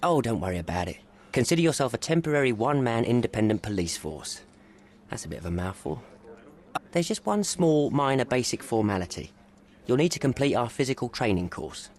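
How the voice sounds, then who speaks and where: An actor playing a talkative, wry police officer stuck on desk duty in a video game. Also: male voice, medium pitch, very animated, fairly quick, a little mellow, natural voice.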